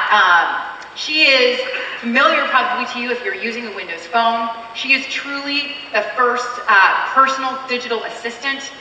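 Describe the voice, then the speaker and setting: A woman's voice: a little high, bright, forceful tone, slight background noise. Upbeat Canadian woman presenting on stage. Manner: upbeat, chatty, filler-heavy. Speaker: keynote presenter. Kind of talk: live product demo on stage. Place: large convention hall stage. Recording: distant mic in echoey hall.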